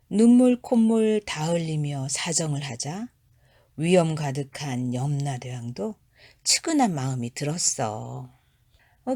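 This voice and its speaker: A woman telling a traditional folktale to children in a gentle, chatty tone. Her voice sits a little low for a woman, and she narrates as if speaking straight to the listener. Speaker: storyteller.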